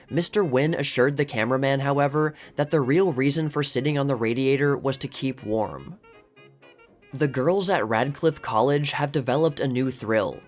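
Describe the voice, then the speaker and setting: A man giving a scripted narration, reading aloud from old magazine articles. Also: mid-range voice. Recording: music playing behind the voice. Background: background music.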